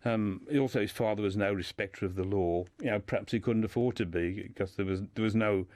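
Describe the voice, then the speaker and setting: A man's voice: lowish voice, brisk, darkish tone, dry recording. A man speaking as a guest in a radio discussion, telling a life story informally and often repeating words as he builds his sentences.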